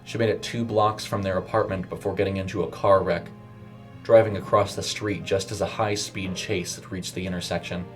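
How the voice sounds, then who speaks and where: A low-voiced man reads a horror short story as its narrator. His delivery is dramatic and scripted, and music plays beneath the voice. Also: steady tone, brisk. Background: music under the voice.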